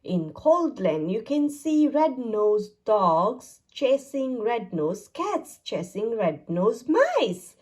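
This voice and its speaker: A woman reads a children's picture book aloud in a sing-song way, her pitch rising and falling widely. She speaks slowly, with a soft, breathy voice. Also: sing-song. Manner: sing-song, exaggerated storytelling. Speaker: storybook reader. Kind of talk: children's read-aloud narration.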